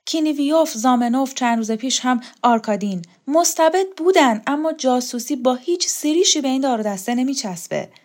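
A brash revolutionary woman of a literary audiobook, acted out by a woman narrator. She speaks high and insistently, goading her listeners with a quick, defiant tongue. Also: expressive, a little mellow. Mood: taunting, excited.

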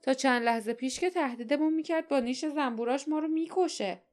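A woman's voice: high voice, a little mellow, soft background music, pitched above the performer's own voice, close to an everyday voice. A woman voicing an outspoken young adventurer girl in a storybook, with a direct, colloquial, pointed manner. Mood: wry grumbling. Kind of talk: dramatized audiobook character voice.

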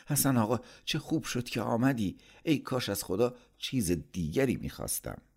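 A man voicing an anxious middle-aged mother in a story, speaking gently and pleading to calm things down. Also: low-pitched, exaggerated voice. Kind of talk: dramatised audiobook storytelling.

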